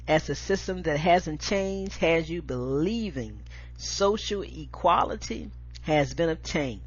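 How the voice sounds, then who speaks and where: An American woman with a husky voice, hosting and reading spoken-word poetry with an emphatic, deliberate delivery. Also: sits low. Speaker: show host and poetry reader. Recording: dull lo-fi audio.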